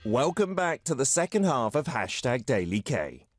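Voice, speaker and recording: A man's voice: mid-range voice, very animated, moderate pace, soft background music. A male radio host chatting with listeners in an upbeat, casual way from a studio. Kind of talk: radio show chat. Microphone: studio mic.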